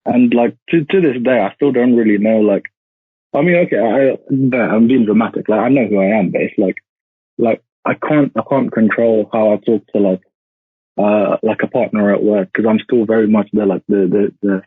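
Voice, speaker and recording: A man's voice: lowish voice, moderate pace, slightly rough, no echo. A man in his twenties who grew up in India, chatting casually as a podcast guest over a call, rambling with many "like" fillers. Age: 20s. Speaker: podcast guest, law graduate. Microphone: calling in remotely. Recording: tinny, phone-like call audio.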